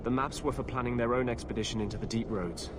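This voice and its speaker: An actor playing a grave, weary fugitive mage in a video game, speaking in an earnest, guarded way. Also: male voice, medium pitch, steady tone, fast, close to an everyday voice. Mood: guarded concern. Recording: noisy game ambience.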